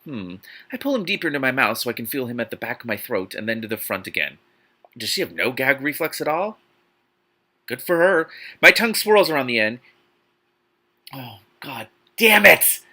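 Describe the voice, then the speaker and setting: A man reads aloud in an intensely bright, sharp voice. His pitch swings widely and theatrically. He hosts the reading like a performance, interrupting it with mocking, exasperated commentary. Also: moderate pace. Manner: animated, theatrical, incredulous, swearing, sarcastic asides.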